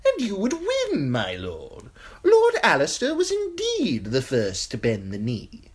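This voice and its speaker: A woman voicing a sly, simpering male eunuch courtier with a high, tittering voice, in an audiobook. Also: huge pitch swings, unhurried, husky, exaggerated voice. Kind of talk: audiobook character voice.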